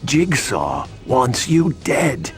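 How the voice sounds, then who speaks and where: An actor playing a nervous, streetwise male informant in a video game, with a creaky voice and hesitant, animated delivery. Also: medium pitch, extremely slow, faint music behind, heavily acted. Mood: urgent, uneasy. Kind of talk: video game cutscene acting.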